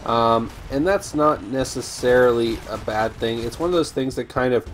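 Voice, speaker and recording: A man commentating over his own gameplay, chatty and rambling with ums and restarts, his voice slightly raspy today. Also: mid-range voice, moderate pace. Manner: rambling, frequent ums and restarts, addresses viewers as guys. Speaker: gaming YouTuber.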